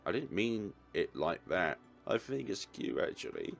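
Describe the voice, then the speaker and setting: Male let's player: deep, expressive read of game text, casual reactions, light music behind. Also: measured pace, creaky. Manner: casual asides and short reactions. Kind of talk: reading game narration and dialogue aloud.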